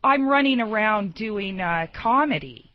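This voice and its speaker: A woman's voice: medium pitch, expressive, unhurried. A woman, a comedian guest, chatting casually on a radio show, starting hesitantly and pushing back on points. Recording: dull, band-limited radio audio.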